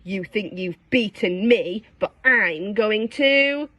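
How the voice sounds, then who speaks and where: A woman voicing a gloating, menacing male evil pea in a children's storybook, with slow theatrical delivery. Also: mid-range voice, expressive, sharp tone, dry recording, full character voice.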